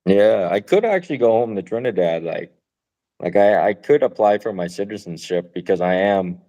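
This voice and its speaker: A man co-hosting a podcast, chatting casually in a relaxed, rambling way, swearing now and then and laughing often. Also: lowish voice, moderate pace. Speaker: podcast co-host. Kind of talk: casual chat.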